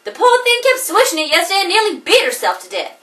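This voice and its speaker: A woman's voice: extremely high pitch, big pitch swings, quick pace, fairly bright tone, echoey room, distant mic, heavily acted. A woman performing a comedic monologue as an exasperated young woman scolding an obsessive admirer, in a theatrical, indignant manner.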